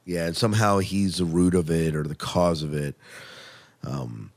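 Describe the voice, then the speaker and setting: Guy with a low voice hosting a tv review podcast, just chatting casually. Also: moderate pace. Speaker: podcast host. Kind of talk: casual review chat about TV shows.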